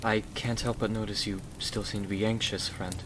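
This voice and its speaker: A man's voice: fairly low pitch, steady tone, moderate pace, natural voice. A man playing a gallant, protective husband in an ASMR roleplay, speaking in a soothing, courteous, old-fashioned way. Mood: calm, reassuring. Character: Jonathan, gallant protective husband, gentle rescuer. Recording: clearly noisy, rain behind the voice. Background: rain.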